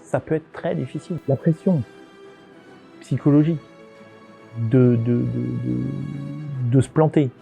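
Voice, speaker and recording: A man's voice: medium pitch, steady tone, unhurried, creaky, long pauses, dark tone, faint music behind. A man giving informal interview answers as a local government executive, often hesitating and repeating words as he searches for phrasing.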